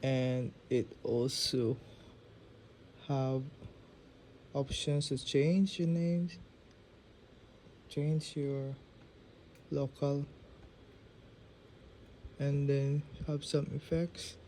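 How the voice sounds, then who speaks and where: A man's voice: medium pitch, steady tone, drawn-out words, long pauses, dark tone, a little hiss or hum. A man giving a casual narrated demo as he reviews a phone app.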